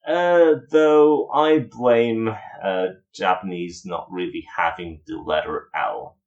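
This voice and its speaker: Low-voiced man giving a casual review. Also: slow pace, dull audio. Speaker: video reviewer.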